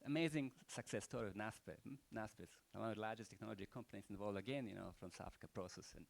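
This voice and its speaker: A man's voice: fairly low pitch, racing, creaky. An older man with a Croatian accent giving rambling, unscripted closing remarks at a panel event, full of rhetorical questions and "you know".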